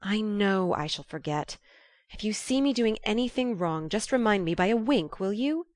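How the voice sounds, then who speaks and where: Woman acting a tomboy teenage girl, blunt, brisk, hearty, storybook character, dry sound. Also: mid-range voice, expressive, a little mellow, no room sound, lightly acted. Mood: casual. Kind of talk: audiobook character voice.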